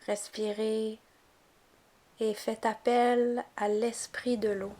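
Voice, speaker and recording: A woman guiding a yoga nidra relaxation, giving calm, gentle, unhurried instructions. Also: on the high side. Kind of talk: guided relaxation meditation narration.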